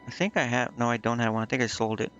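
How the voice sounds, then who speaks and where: A man's voice: mid-range voice, fairly even intonation, fast talker, a bit bright, lo-fi sound. A man streaming a game, muttering indecisively to himself in casual commentary as he thinks aloud. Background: game music.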